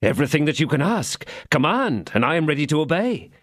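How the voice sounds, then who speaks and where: A man's voice: on the high side, sing-song, a little fast, fairly bright tone, no echo, studio quality, pitched above the performer's own voice. A man playing an ardent young swordsman. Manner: theatrical, sentimental pleading. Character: ardent young male swordsman, flattering and cunning.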